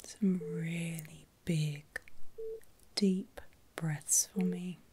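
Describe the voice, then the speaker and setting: A woman speaking in a soft, breathy whisper, gently reassuring the listener as a medical carer in an ASMR roleplay. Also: lowish voice, steady tone, drawn-out words.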